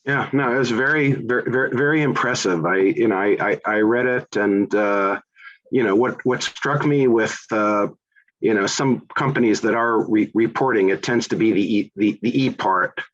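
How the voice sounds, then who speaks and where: A man's voice: lowish voice, normal speed, fairly bright tone, muffled recording. A man from the United States hosting a business interview, asking questions in a hesitant, conversational way with many repeated words. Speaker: interviewer, podcast host.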